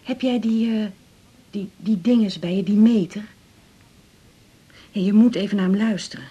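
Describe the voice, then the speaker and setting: Actress doing fussy anxious dutch mum, middle-aged woman, really husky breathy voice, radio drama. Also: mid-range voice, moderate pace, warm tone, highs cut off, close to an everyday voice. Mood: anxious, confiding. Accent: Netherlands Dutch. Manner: fretful, hesitant, rambling. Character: fussing, anxious, affectionate middle-aged mother.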